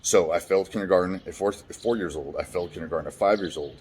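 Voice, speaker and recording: A man with a deep, resonant voice telling his life story frankly, swearing casually and restarting often. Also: fast talker, darkish tone, slight background noise. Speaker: vlogger. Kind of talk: candid personal storytelling.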